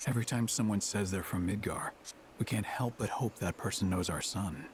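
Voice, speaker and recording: A man's voice: fairly low pitch, brisk, a little hiss or hum, natural voice. An actor playing a humble, kindly middle-aged country father in a video game, plain-spoken and homely. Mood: wistful, apologetic. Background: game background music.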